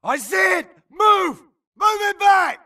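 A man's voice: extremely high pitch, expressive, takes it slowly, bright, forceful tone, shouting, far from the mic, exaggerated voice. A man voicing a frantic soldier in a video game, barking urgent clipped combat orders. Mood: frantic, commanding. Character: frantic soldier grunt shouting battle orders.

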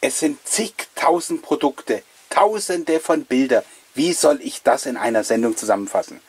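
A man's voice: medium pitch, normal speed, breathy edge. Effusive middle-aged man hosting. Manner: effusive, addressing viewers directly. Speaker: host of a collectors' retro show. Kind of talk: enthusiastic chatty presenting to camera.

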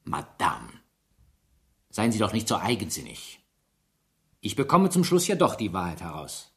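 An actor playing a persistent police inspector in a German radio drama, probing and insistent. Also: male voice, on the low side, huge pitch swings, measured pace, vocal fry, a bit bright, full character voice. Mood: insistent, pressing. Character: persistent male French police inspector questioning witnesses.

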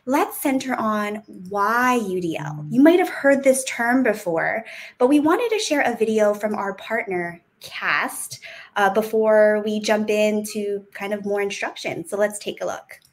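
A woman presenting an online teacher workshop on a video call, upbeat and welcoming, explaining things in clear steps. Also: medium pitch. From United States. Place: home room on a video call.

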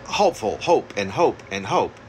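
A man reading his own poem aloud, haltingly, repeating phrases like an incantation, recorded on a phone in a room at home. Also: fairly low pitch, sing-song, slight background noise, rough recording. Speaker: poet reading own work. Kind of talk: poetry reading. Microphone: phone at arm's length.

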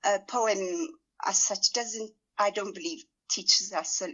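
A woman's voice: medium pitch, takes it slowly, sharp tone, highs cut off. A middle-aged woman raised in Ethiopia, with Amharic as her first language, answers interview questions thoughtfully, hesitating and restarting often. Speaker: guest writer and translator. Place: indoors, small room.

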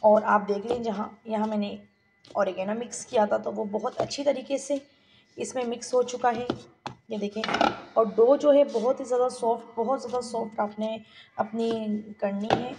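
A woman, hosting a home cooking tutorial and calmly giving the viewer step-by-step instructions. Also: fairly high pitch, normal speed, creaky, noisy background. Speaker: cooking channel host. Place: home kitchen.

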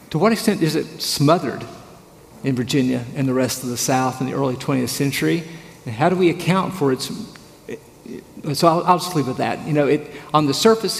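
A middle-aged man moderating a panel discussion on a theater stage, thoughtful and questioning, sometimes trailing off. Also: mid-range voice. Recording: gravelly voice in echoey hall, faint hum.

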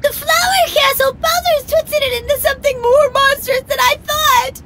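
A woman's voice: extremely high pitch, fairly even intonation, normal speed, background noise. A woman voicing a tiny, plucky video game helper creature, earnest, exclamatory and encouraging. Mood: alarmed. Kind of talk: video game character voice acting.

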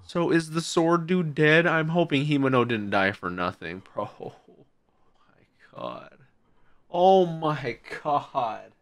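A young man reacting out loud to an anime episode, exclaiming and swearing casually into a boom mic in a home streaming room. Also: lively intonation, slow. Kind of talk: excited reaction commentary. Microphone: boom mic with headphones. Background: anime soundtrack playing.

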